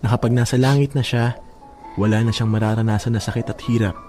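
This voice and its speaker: Filipino radio drama actor as devoted young husband: dark mellow tone, mid pitch, tender and reassuring, light music bed. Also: male voice, steady tone, fast talker, close to an everyday voice. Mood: consoling. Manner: gentle, reassuring, affectionate. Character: devoted gentle young husband, hardworking driver, comforting. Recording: faint music behind the voice.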